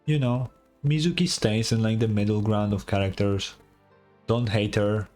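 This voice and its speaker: A man's voice: fairly low pitch, fairly even intonation, normal speed, darkish tone, faint music behind. A man giving casual, blunt, sweary reaction commentary as a game streamer.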